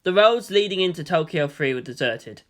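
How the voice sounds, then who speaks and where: A man's voice: on the high side, lively intonation, normal speed, fairly bright tone. A man reading a story aloud as dramatic scripted narration.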